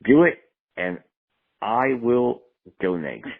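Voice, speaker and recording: A man's voice: mid-range voice, sing-song, takes it slowly. A man leaving a rambling, profane voicemail for a show, jumping from topic to topic. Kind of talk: rambling phone message. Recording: tinny phone line voicemail.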